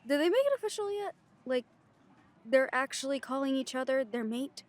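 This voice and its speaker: A woman's voice: high voice, lively intonation, moderate pace. A woman playing a sceptical, protective werewolf packmate in an audio roleplay, with a pointed, questioning delivery. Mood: curious.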